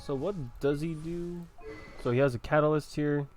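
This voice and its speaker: A man's voice: fairly high pitch, fairly even intonation, normal speed, darkish tone, soft background music. Casual male gamer thinking aloud. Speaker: gaming video host. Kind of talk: casual playthrough commentary.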